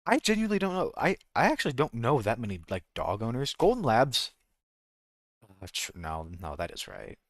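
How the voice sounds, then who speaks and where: A man's voice: mid-range voice, big pitch swings, normal speed, no echo, studio quality. A man with an American accent chatting casually as a game streamer, rambling and jokey, often correcting himself mid-thought. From United States. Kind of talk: casual livestream chat.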